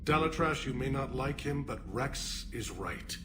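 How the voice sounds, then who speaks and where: A man's voice: mid-range voice, expressive, unhurried, noisy background, close to an everyday voice. A man playing an impatient, authoritative male alien statesman in a video game, curt and firm.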